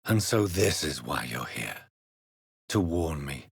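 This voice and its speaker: A troubled gentleman doctor turned vampire in dramatic game dialogue. His voice is very low and husky, with an expressive, wide-moving melody. He speaks in a formal, measured, courteous way, grave and earnest, in a close, dry recording. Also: no room sound, exaggerated voice. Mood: sorrowful, troubled. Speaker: video game protagonist.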